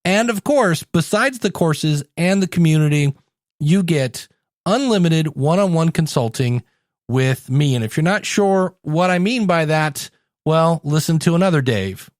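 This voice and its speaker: A man's voice: a little high, lively intonation, a little slow, dry recording, studio quality. A man hosting a podcast, talking directly and conversationally to listeners as he promotes his coaching.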